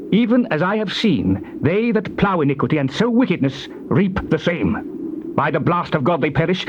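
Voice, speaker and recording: An actor playing a solemn, admonishing friend in a dramatized Bible reading, theatrical and measured in delivery. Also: male voice, on the high side. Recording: muffled, noisy old cassette tape.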